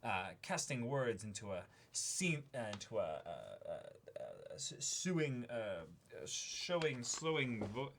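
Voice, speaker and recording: Young male co-host, bright and animated, reads letters aloud with offhand comments and the odd stumble. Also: mid-range voice, slow pace, slight background noise. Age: young adult. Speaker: co-host of an unboxing show. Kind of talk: reading a letter aloud with casual asides. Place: small indoor room, seated before a backdrop.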